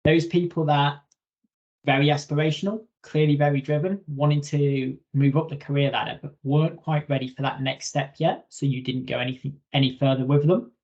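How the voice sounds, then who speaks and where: A man giving an informal instructional webinar presentation as a software trainer. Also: fairly high pitch, fairly even intonation, brisk. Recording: slightly dull lo-fi webinar audio.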